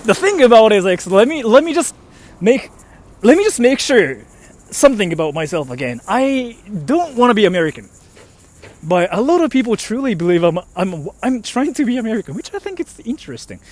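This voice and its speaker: A man's voice: high voice, sing-song, a little fast. A young man from Japan, a native Japanese speaker, chatting casually on a livestream and reading out viewers' comments. He rambles in a chatty way, with lots of fillers and an emphatic "freaking". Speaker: streamer reading chat comments.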